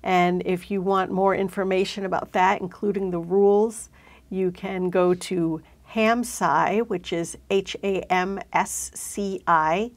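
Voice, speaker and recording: A middle-aged woman hosting a conversational interview in a studio on a lapel mic. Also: medium pitch. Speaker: host. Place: indoor studio.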